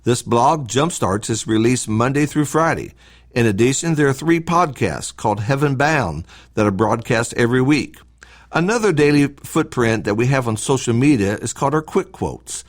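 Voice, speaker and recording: A man reads a scripted devotional talk as a church podcast host, in a measured, instructive way with short emphatic phrases. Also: medium pitch, lively intonation.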